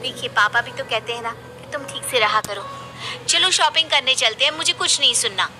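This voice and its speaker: A woman's voice: a little high, fairly quick, music in the background, close to an everyday voice. A woman voicing a pushy, scheming, sweet-talking young daughter in a moral story cartoon, wheedling and insistent. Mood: pushy, coaxing. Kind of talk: animated moral story dialogue.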